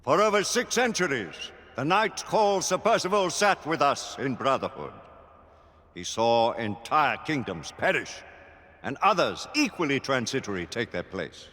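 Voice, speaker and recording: Solemn knight leader, bright forceful oratory, game scene, big echoing hall. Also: male voice, medium pitch, big pitch swings, moderate pace. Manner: stately, oratorical. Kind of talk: solemn eulogy in cinematic game acting. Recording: long reverb of a hall.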